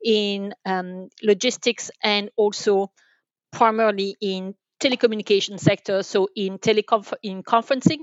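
A woman's voice: medium pitch, steady tone, moderate pace, no room sound, lo-fi sound. A woman from France with a French accent, giving conversational answers as a podcast interview guest. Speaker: podcast guest, telecom sales manager.

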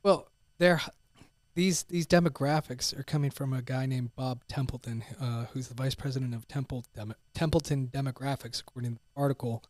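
A man's voice: medium pitch, steady tone, a little fast, a little mellow, no room sound, clean studio sound. A man hosting a talk podcast who chats casually and reads a sponsor plug in an upbeat way. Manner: brief agreeing replies, upbeat when plugging the sponsor. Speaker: podcast host.

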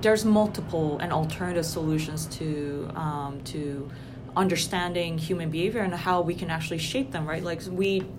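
Woman from Indonesia, lowish voice, reflective co-host, hissy noisy recording. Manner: thoughtful, many ums and restarts. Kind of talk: casual academic conversation. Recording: noisy background hiss.

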